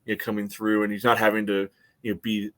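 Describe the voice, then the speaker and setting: An American man co-hosting a sports podcast, analysing soccer casually in a halting, conversational way. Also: on the low side, monotone, a bit bright.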